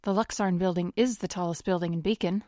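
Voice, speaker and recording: A woman playing a poised, steely, injured society lady in an audio drama, determined, with halting breathy pauses. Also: fairly low pitch, steady tone, a little mellow, slight background noise, close to an everyday voice. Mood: guarded. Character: poised, steely injured society heiress in her city's war.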